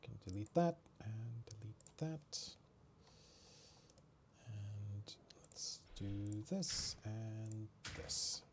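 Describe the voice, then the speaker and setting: A man's voice: fairly low pitch, lively intonation, drawn-out words, pauses often, a little hiss or hum. A man from Norway chatting casually while playing a video game on stream, muttering and joking at himself. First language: Norwegian. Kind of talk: casual gameplay commentary.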